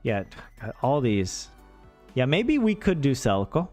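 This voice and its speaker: Animated, joking man from the American South. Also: medium pitch, slow, faint music behind. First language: English. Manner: joking, rambling, self-deprecating. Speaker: streamer learning Finnish. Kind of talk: casual livestream chat.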